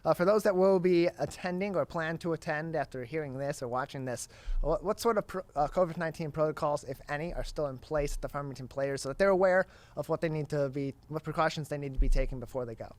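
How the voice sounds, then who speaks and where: Higher-pitched man hosting a broadcast interview. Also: quick pace. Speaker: interview host. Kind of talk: broadcast interview, announcing details.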